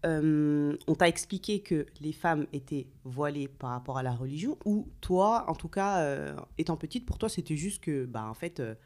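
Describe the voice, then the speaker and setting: Woman host with a low voice, friendly and chatty, asks lots of quick questions. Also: animated, a little mellow. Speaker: podcast host. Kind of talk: casual interview chat.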